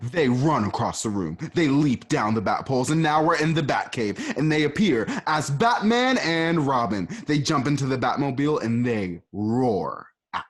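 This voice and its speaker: A man narrating stage directions for a radio play in a lively, playful way. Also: mid-range voice, normal speed, few pauses. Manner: lively, playful, asks and answers his own questions.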